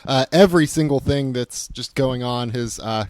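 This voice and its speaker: A man hosting a sports podcast with a hoarse, croaky voice, chatting casually and filling his sentences with "like". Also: medium pitch, normal speed, a little mellow, dry recording, studio quality. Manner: casual, leans on "like" constantly. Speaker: podcast host.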